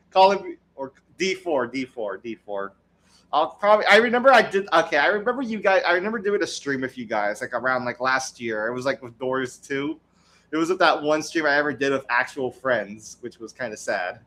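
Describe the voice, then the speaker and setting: A man's voice: medium pitch, lively intonation, moderate pace, bright, forceful tone. A young man chatting casually on a livestream, rambling with lots of restarts and frequent laughs. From United States. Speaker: streamer.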